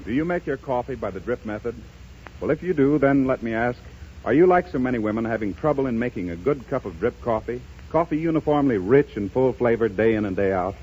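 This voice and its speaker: Husky American 1930s radio pitchman. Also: lively intonation, fairly quick. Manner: earnest, emphatic salesman delivery. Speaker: radio advertisement announcer. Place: radio broadcast studio. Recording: dull vintage 1930s radio recording.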